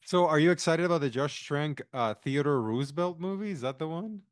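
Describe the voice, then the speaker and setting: A man's voice: on the high side, animated, brisk, no room sound, clean studio sound. A man on a podcast panel in casual chat, easygoing and laughing along.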